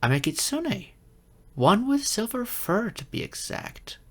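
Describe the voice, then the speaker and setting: A man's voice: sits high, sing-song, measured pace, full character voice. A man voicing a geeky young silver fox kitsune in an ASMR roleplay, flustered, hesitant and earnest, with slightly formal wording. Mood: gently proud. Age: young adult.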